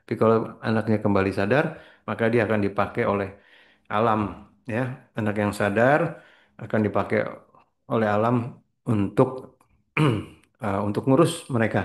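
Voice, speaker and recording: A middle-aged man gives a loose, meandering motivational talk in a low-pitched voice. He drifts between thoughts, slips English words into his speech and clears his throat often. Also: fairly even intonation, moderate pace, long pauses. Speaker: spiritual trainer.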